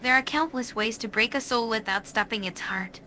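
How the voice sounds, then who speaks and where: A woman's voice: fairly high pitch, normal speed, sharp tone. Woman doing ancient evil seductress from a fantasy game, teasing n theatrical, bit of background noise. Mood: sly, sinister. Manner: teasing, sly, elegantly theatrical. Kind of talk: in-character game companion dialogue.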